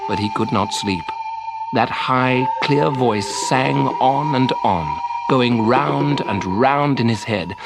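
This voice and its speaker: A man narrating a children's story in an expressive storybook style. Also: medium pitch, slow pace, over loud music.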